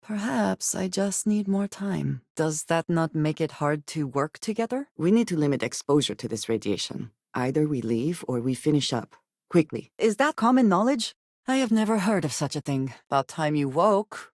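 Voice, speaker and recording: An actress playing a guarded, serious woman space smuggler in a video game, speaking in measured, formal sentences with dry reserve. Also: low-pitched, lively intonation, a little mellow, no room sound. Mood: earnest, then brisk. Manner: measured, formal speech with few contractions. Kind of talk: video game companion dialogue.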